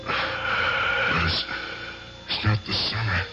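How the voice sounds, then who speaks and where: An actor playing an older gangster uncle, guilty and haunted, in an agitated, pleading style of old-time radio drama. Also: male voice, fairly low pitch, fairly even intonation, takes it slowly, over loud music, low-quality recording. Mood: dazed, fearful.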